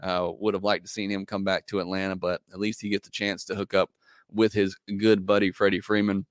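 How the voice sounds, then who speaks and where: A man hosting a podcast, reading listener comments in casual chat, hoarse as he loses his voice, talking in a loose, hesitant way full of fillers. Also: sits low, little pitch movement, fairly quick, dry recording, clean studio sound. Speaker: podcast host.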